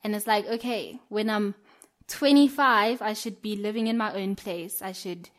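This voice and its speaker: A woman's voice: medium pitch, moderate pace. A young woman, chatting casually on a podcast, filling her talk with "like" and "um" and laughing often. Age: young adult. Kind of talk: casual chat.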